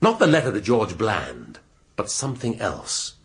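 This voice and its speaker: An actor playing a shrewd middle-aged English police inspector in a radio drama, speaking deliberately and rhetorically with dramatic pauses. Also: male voice, lowish voice, huge pitch swings, a bit bright, heavily acted. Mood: pressing, sardonic. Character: shrewd, theatrical male police inspector laying out a murder case.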